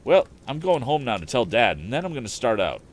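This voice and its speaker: A man's voice: medium pitch, very animated, a little fast, voice pushed up, lightly acted. A man playing an eager, earnest young male inventor in a storybook reading. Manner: exclaiming, earnest.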